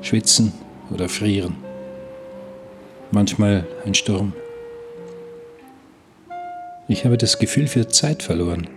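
A man's voice: on the low side, measured pace, music in the background. A man playing a brooding seafarer who narrates his confinement aboard ship in a scripted audio drama. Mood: bleak.

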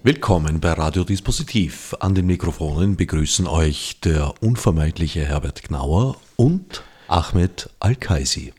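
Male radio host, very deep voice, calm clear questions, faint background hiss. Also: measured pace, few pauses. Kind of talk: radio interview.

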